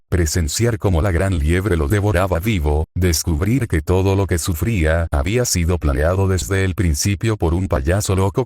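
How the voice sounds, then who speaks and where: Man, Spain Spanish accent, very low voice, dry close recording, steady storytelling read. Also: no room sound. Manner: steady, even-paced reading of narration and quotes. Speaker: fanfiction reader. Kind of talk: reading a fan story aloud.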